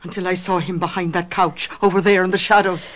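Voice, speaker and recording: An actress playing a sensible, plain-spoken housekeeper who narrates a radio drama, measured and matter-of-fact. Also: female voice, fairly low pitch, level tone, lightly acted. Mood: probing. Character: sensible, plain-spoken housekeeper and confidante, older than her mistress. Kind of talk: radio drama acting and storytelling.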